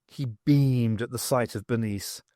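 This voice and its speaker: A man reading a science fiction novel aloud as scripted, expressive audiobook narration. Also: mid-range voice, a little slow, dry recording, studio quality. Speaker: audiobook reader.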